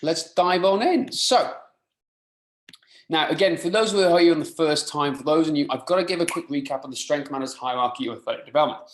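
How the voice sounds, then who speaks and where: Coach, roomy echoing sound. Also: male voice, on the high side, a little fast, a little hiss or hum. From rural mid Wales. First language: Welsh. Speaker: fitness coach presenting a webinar. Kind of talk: informal live online presentation. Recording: roomy, echoing live webinar audio.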